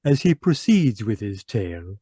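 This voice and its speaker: An actor playing a sly, macabre host who introduces eerie tales in a theatrical, drawn-out old-time radio style. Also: male voice, on the low side, sing-song, mellow, breathy edge, no echo, clean studio sound. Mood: sly, ominous. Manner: theatrical, sinister, drawn-out phrasing. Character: The Mysterious Traveler, sly macabre mystery host.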